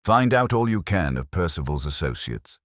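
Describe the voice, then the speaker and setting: Audiobook sleuth, played by a male narrator: extremely low male voice, intense, commanding, deliberate and rhetorical, dry close sound. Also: sing-song, a bit bright, dry recording. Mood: probing, grave. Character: brilliant, intense male detective, commanding and cryptic.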